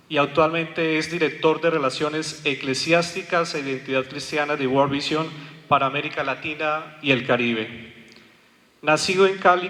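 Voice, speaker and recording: A man's voice: on the high side, fairly bright tone. Man giving a formal introduction in a hall. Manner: reads a formal biography. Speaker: event host introducing the speaker. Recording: long hall reverb.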